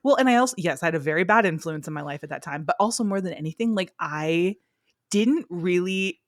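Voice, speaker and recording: A woman's voice: lowish voice, lively intonation, brisk, no echo, clean studio sound. A young woman co-hosting a podcast, chatting casually with her sister and rambling with lots of "like"s and restarts. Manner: rambling, filler-heavy, many "like"s and restarts. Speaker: podcast co-host. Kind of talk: casual chat between sisters.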